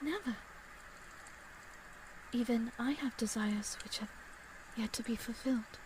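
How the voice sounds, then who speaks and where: A woman's voice: on the high side, unhurried, background noise, close to an everyday voice. An actress playing a tender, sincere young lady in a period audio drama, speaking with polite, old-fashioned formality. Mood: hushed, tender.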